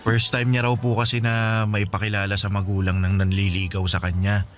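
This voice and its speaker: A man's voice: lowish voice, flat delivery, fairly quick, faint music behind, natural voice. An actor playing a polite young man talking respectfully with his mother about the girl he is courting in a radio drama. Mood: respectful, smitten. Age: young adult. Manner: polite and respectful, uses honorifics. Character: polite young man in love, devoted son.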